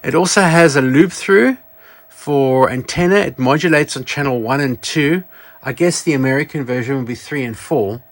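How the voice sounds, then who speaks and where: A man's voice: mid-range voice, moderate pace, no echo. Man in a rambling gadget show-and-tell. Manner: rambling, self-correcting, informal. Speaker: presenter of a vintage electronics channel. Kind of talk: casual product show-and-tell.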